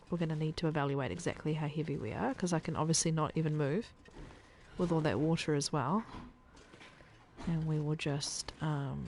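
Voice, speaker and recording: Woman streamer, lowish gravelly voice, chatty thinking-aloud commentary. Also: a little mellow, a little hiss or hum. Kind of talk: casual gameplay commentary.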